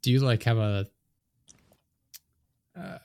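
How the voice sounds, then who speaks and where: A man's voice: medium pitch, lively intonation, slow, vocal fry, dark tone, no room sound. A man hosting a podcast in casual interview chat, slightly nasal, who speeds up and trips over his words when he gets going, on a studio microphone. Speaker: podcast host. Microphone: studio dynamic microphone.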